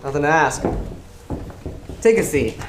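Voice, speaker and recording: A woman's voice: sits low, lively intonation, measured pace. Woman acting a curt loan shark in an echoey room. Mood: guarded, cool. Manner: curt, menacing. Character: shady, controlling loan shark named Raymond, coldly threatening. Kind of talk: amateur stage play acting. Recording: echoey stage recording with faint noise.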